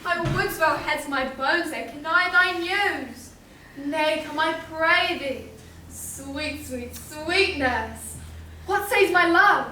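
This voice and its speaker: A woman's voice: extremely high pitch, slow, bright, forceful tone, exaggerated voice. A woman playing an impatient, lovestruck teenage girl on stage, pleading theatrically in Shakespearean style. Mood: eager, pleading. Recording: echoey hall, distant mic, faint noise.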